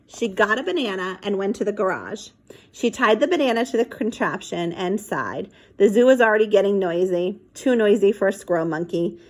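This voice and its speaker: Woman reading a children's story aloud. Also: medium pitch. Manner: animated, acts out dialogue and sound effects. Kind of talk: expressive read-aloud with casual asides to young listeners.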